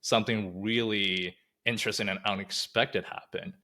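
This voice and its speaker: A man whose first language is Mandarin Chinese, co-hosting a podcast and asking a long, deliberate question with many fillers. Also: on the low side, steady tone, pushed and bright. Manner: deliberate, frequent "uh" and "you know". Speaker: podcast co-host. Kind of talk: podcast conversation.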